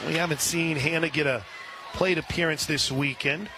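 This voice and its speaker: A man calling live softball play-by-play on the radio as the announcer, with an excitable delivery. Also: a little high, normal speed. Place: at a softball stadium. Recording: noisy live radio broadcast with stadium ambience. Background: clear background noise, ballpark ambience.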